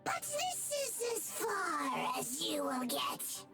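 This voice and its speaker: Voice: high voice, wildly swooping pitch, slow pace, vocal fry, heavily acted. A performer voicing a gloating, theatrical villainous little mage monster in a video game, with taunting, grandiose delivery. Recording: loud music under the voice.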